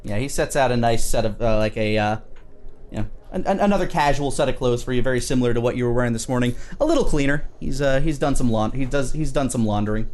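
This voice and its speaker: A man working as game master, improvising fantasy narration in a casual, halting, conversational way. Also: mid-range voice, a little mellow.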